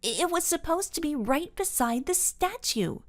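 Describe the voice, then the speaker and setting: Woman doing eager, high-voiced girl Carol. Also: very animated, normal speed. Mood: frustrated. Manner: exclaiming, higher-pitched. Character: eager, easily frustrated young girl Carol.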